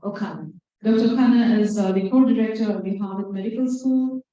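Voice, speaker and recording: A woman's voice: mid-range voice, a little fast, mellow. A woman introducing the next speaker at a conference, friendly with a nervous edge. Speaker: session moderator. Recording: echoey hall from far off, dull.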